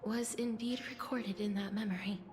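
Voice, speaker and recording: A woman playing a gentle, earnest young heroine in a fantasy video game, speaking politely in a hushed voice. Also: mid-range voice, fairly even intonation, normal speed, lightly acted. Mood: solemn, resolved. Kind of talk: English video game voice acting. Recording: game dialogue under loud music.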